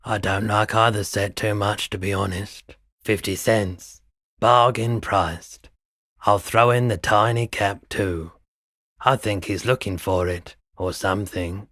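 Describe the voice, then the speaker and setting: An actor playing a weary, mild-mannered pawnshop owner no longer young in a video game, speaking in an unhurried, low-key, dryly polite way. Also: male voice, a bit bright, no room sound, studio quality. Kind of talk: video game dialogue acting.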